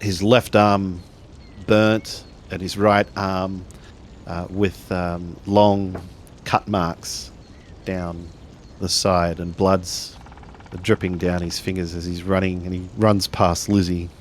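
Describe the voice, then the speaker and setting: A man narrating a tabletop roleplaying game in a halting way, building his sentences bit by bit. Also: sits low, flat delivery, slight background noise. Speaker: tabletop RPG player.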